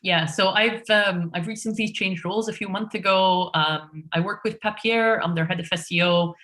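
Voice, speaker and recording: A woman from Egypt answering interview questions on a podcast in a relaxed way, with frequent fillers and restarts. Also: medium pitch, moderate pace. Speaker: podcast guest, head of SEO.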